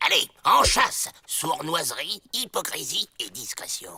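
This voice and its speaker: Creaky, irritable cartoon outlaw. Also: male voice, fairly high pitch, a little slow, full character voice. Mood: sly, grumbling. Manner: barking, irritable, excitable. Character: short-tempered, bossy, scheming male cartoon outlaw convict. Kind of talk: animated cartoon acting. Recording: loud music behind the voice.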